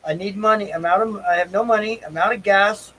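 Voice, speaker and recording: A man telling a casual, rambling story on a livestream in a halting, repetitive, folksy way. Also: high-pitched, pushed and bright, a little hiss or hum, poor audio. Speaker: livestream host. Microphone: stream microphone.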